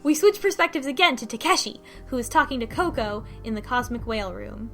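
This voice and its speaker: A woman's voice: fairly high pitch, animated, brisk, fairly bright tone, faint music behind. A woman co-hosting a podcast, casually recapping a story in a conversational way with wry asides. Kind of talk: casual plot recap discussion.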